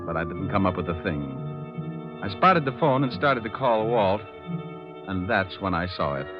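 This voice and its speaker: Low-voiced hard-boiled private eye over music. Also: male voice. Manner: dry, hard-boiled, wisecracking. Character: rough, wisecracking male private detective. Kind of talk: 1940s-50s radio detective acting and narration. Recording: dull old-time radio recording.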